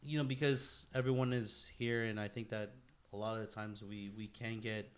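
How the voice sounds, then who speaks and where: A man's voice: fairly low pitch, level tone, normal speed. A man co-hosting a casual podcast, talking informally with friends in profane bro slang. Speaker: podcast co-host.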